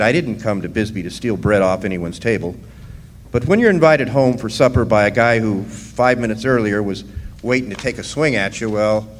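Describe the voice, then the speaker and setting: A man's voice: on the low side, natural voice. Echoing hall sound with a little noise around a man playing a folksy drifter miner. He is wry and easygoing, as in a live radio play. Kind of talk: live original radio drama. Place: live performance in a resort hall.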